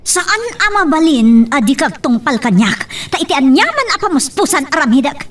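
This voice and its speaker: Spoiled rich schemer played by a woman: bossy radio drama madam, high, swooping voice, slightly off-mic. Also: big pitch swings, normal speed, distant mic. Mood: bossy, threatening. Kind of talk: Ilocano radio love drama acting.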